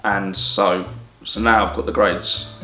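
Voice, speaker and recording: A man's voice: lowish voice, level tone, slow pace, pushed and bright. A man in his twenties with an English accent, an apprentice describing his training in an easygoing, rambling way. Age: 20s. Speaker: groundworks apprentice. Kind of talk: casual interview answers. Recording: distant, roomy, with music.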